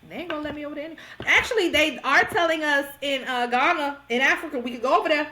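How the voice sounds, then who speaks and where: A young woman from Georgia co-hosting a podcast, chatting casually in an animated, slangy way and laughing often. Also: high voice, fast talker. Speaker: podcast co-host. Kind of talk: casual chat.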